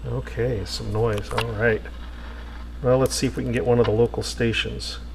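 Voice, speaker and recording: A man's voice: mid-range voice, normal speed, gravelly, noisy background. Easygoing man thinking aloud at the workbench. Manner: relaxed, thinking aloud with many fillers. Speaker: radio restorer. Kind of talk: casual workshop narration.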